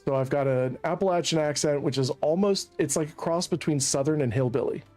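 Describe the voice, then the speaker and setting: A man's voice: fairly high pitch, a little fast, a little mellow. Streamer, male, from Central Virginia; rambling joker, faint music underneath. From Central Virginia, USA. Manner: rambling, chatty, laughs at his own jokes. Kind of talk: casual gameplay commentary.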